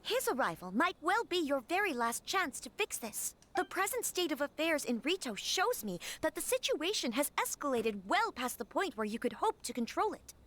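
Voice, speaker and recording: A very high, girlish noblewoman in a video game. She is gentle and anxious yet poised, choosing formal words with care and able to stand firm. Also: big pitch swings. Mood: firm, commanding. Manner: earnest, formal, measured. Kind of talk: English video game voice acting.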